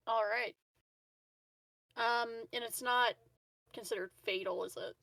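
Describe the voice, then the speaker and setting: A woman's voice: a little high, steady tone, unhurried, vocal fry, fairly bright tone, dry recording, low-quality recording. A woman chatting casually as a player in a tabletop game, hesitant and thinking aloud.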